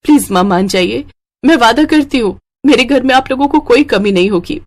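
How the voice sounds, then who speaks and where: A woman voicing a kind, dutiful young doctor in a cartoon story, speaking earnestly and gently. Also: fairly high pitch, lively intonation, brisk. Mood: pleading, earnest. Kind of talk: Hindi cartoon moral story acting.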